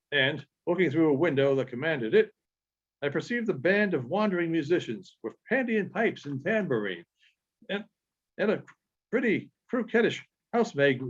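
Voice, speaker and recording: A man reading literary narration aloud in a halting way, with frequent pauses and small stumbles. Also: fairly high pitch, husky. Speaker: audiobook reader. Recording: dull, lo-fi sound.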